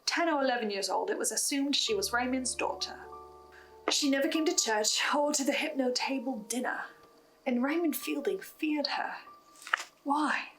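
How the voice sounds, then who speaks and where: High-pitched woman narrating over music. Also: normal speed, poor audio. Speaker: host of a recap and theory video on a horror podcast. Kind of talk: scripted narration of a story recap with asides.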